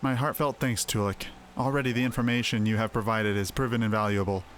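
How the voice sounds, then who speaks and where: A man's voice: fairly low pitch, fairly even intonation, fairly bright tone, a little hiss or hum, close to an everyday voice. Man reading as a solemn, gracious forest seeress. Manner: formal, archaic, measured phrasing. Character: solemn, gracious female elder seer of a forest realm. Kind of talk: amateur voicing of fantasy game dialogue. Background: faint music.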